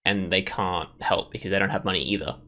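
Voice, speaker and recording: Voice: sits low, fairly even intonation, a little fast, sharp tone. A gaming video host giving casual let's play commentary, thinking aloud and piecing things together along the way.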